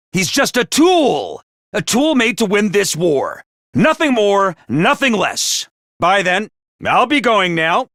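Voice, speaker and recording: A man voicing a cold, scheming AI that mocks feelings as useless, with a flat, dismissive manner, recorded dry in a studio. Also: high-pitched, no echo, heavily acted. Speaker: game voice actor. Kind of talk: video game voice lines.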